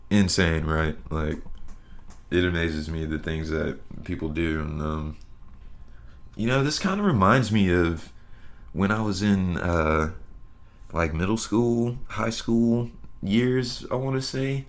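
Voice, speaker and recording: Deep-voiced rambling young man. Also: takes it slowly. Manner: rambling, loose, swears casually. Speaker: podcast host. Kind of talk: casual solo podcast chat.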